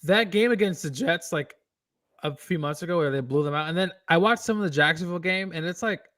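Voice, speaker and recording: A man's voice: fairly high pitch, brisk, a bit bright. A man co-hosting a casual football picks show, loose and filler-heavy, laughing along. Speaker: sports picks co-host. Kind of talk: casual sports betting chat.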